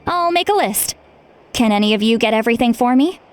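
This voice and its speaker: A woman playing a responsible, frank young female leader in a video game, speaking in a measured, earnest way. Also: on the high side, animated, smooth, faint music behind, lightly acted. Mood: decisive, businesslike.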